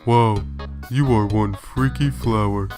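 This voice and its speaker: A man voicing a laid-back wisecracking skeleton in a comic dub, casual and deadpan with a quiet threat. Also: lowish voice, slow pace. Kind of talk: fan comic dub acting. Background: faint music.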